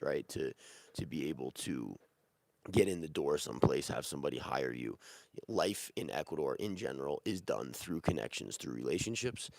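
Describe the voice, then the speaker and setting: A man's voice: rumbling low, gravelly, a little mellow, no echo. A man who is sick and losing his voice, answering viewer questions about living abroad in a casual, rambling way. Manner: rambling, many restarts and fillers. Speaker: real estate agent answering viewer questions. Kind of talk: casual Q&A livestream.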